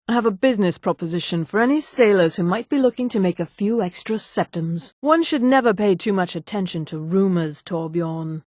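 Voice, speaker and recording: A woman voicing a haughty, refined high elf townswoman in a video game, with precise, polished, condescending delivery. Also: no room sound, studio quality. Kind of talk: scripted game dialogue.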